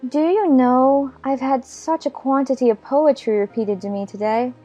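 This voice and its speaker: A woman's voice: high-pitched, moderate pace, mellow, soft background music, pitched above the performer's own voice. A woman voicing a polite, curious young girl in a dramatized children's audiobook, earnest and wondering.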